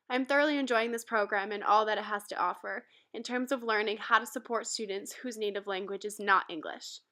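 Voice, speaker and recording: A young woman from Rhode Island, a university student, reading a prepared school presentation aloud in a steady, careful way. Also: medium pitch. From Rhode Island, USA. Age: young adult.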